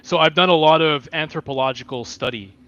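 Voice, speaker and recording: A man's voice: on the high side, brisk, a bit bright. A man hosting a casual livestream chat, joking in a mock-scholarly manner. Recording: dull lo-fi mic with faint hiss.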